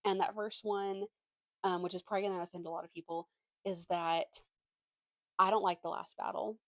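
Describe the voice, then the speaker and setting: A woman's voice: fairly low pitch, normal speed, no room sound. A young woman chatting casually as a book vlogger, opinionated and slangy, poking fun at herself. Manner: animated slangy delivery, opinionated, self-deprecating.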